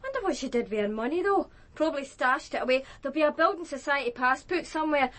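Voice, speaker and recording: A woman's voice: high-pitched, animated, fast, fairly bright tone. An actress playing a naive, defensive young Glasgow woman in a naturalistic radio drama, speaking colloquially. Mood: curious, chatty. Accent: Scottish, Glasgow.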